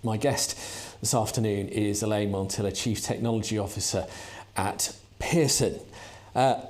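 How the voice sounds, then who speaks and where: A man hosting a conference fireside chat, relaxed and chatty, with small hesitations. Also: on the low side, moderate pace. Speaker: host.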